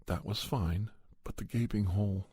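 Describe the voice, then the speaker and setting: A man narrating a first-person horror story in ASMR style, with a measured, halting delivery in short phrases. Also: fairly low pitch, dark tone, husky, no room sound. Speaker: podcast host and storyteller.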